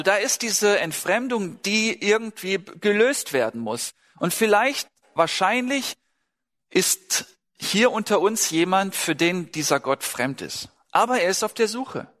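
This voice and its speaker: A man's voice: high voice, very animated, unhurried, a bit bright. A man preaching to a congregation in a conversational, questioning way. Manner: conversational, asks listeners questions, acts out short dialogues. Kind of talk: sermon to a congregation. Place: large hall, speaking to a gathering. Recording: large hall with long reverb.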